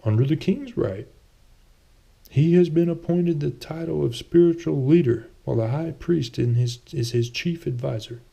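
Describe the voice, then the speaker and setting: Guy doing a rich older pious fella in a fantasy book, pitched up, earnest, persuasive. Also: moderate pace, creaky, dark tone, husky. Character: wealthy, pious, earnest older man, old friend.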